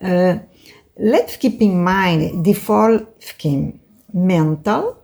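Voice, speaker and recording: Woman, Brazilian accent, non-native English, card-reading teacher, low mellow voice, halting with fillers. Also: animated, vocal fry. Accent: Brazilian Portuguese. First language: Portuguese. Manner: unhurried, halting, many fillers and tag questions. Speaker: tarot and oracle card teacher.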